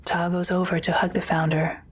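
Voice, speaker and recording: Low-voiced woman narrating an audio drama. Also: level tone, moderate pace, background noise.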